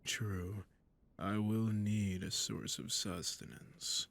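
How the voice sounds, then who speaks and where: A man playing a sadistic, possessive vampire lord in intimate roleplay audio, with a breathy, gravelly near-whisper and silky, mock-courteous, condescending endearments. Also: lowish voice, little pitch movement, slow pace, a bit bright, full character voice. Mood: seductive, possessive.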